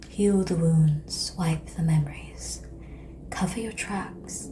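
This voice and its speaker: Over audible background ambience, a woman plays an ancient female vampire, seductive and composed. Her voice is lowish, soft and breathy, often sinking to a whisper. She speaks very slowly and formally, in an ASMR roleplay manner. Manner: slow, measured, formal phrasing with long pauses. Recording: clear background noise and ambience.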